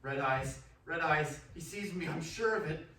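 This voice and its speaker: Radio play: male actor as fearful young man in a trance, dramatic and halting, recorded roomy and at a distance. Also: fairly high pitch, sharp tone. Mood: mounting panic. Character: terrified young Englishman recalling a vampire's castle under hypnosis. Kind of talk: stage-like radio drama acting. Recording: roomy, distant mic, faint noise.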